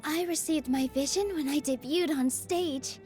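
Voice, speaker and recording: A woman voicing a gentle, sunny young dancer in a video game, earnest and encouraging, with a sweet, coaxing manner. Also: high-pitched, unhurried, faint music behind. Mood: earnest, curious. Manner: sweet, soft-hearted, bubbly, coaxing. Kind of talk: scripted video game character lines.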